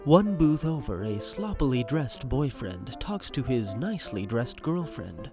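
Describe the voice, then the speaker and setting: A man's voice: medium pitch, sing-song, a little slow. A man narrating an audio drama in a scripted, dramatic present-tense style. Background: music.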